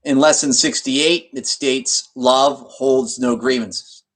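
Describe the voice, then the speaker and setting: A man leading a guided meditation in an instructional way, speaking deliberately in short phrases with pauses mid-sentence. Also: mid-range voice, a bit bright.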